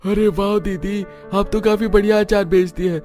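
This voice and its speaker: Guy doing a young student lad for a cartoon, high voice, chatty n eager, bit of music under it. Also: fairly even intonation, moderate pace, dark tone, close to an everyday voice. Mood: pleased, eager. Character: young male hostel student, eager pickle customer. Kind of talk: Hindi cartoon story dialogue.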